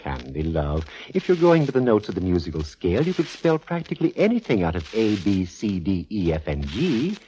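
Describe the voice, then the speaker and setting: An actor playing a fussy, long-suffering musician sidekick in a 1940s radio show, arch, precise and theatrical. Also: male voice, low-pitched, wildly swooping pitch, brisk, few pauses, a little hiss or hum. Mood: exasperated. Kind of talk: 1940s radio comedy-drama acting. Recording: muffled old radio recording, loud music.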